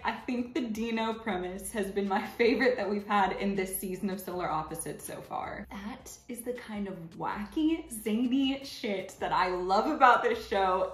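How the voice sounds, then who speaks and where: A woman, giving casual, gushing reaction commentary as a video host. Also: mid-range voice. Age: 20s. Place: furnished living room indoors. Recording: roomy living room sound.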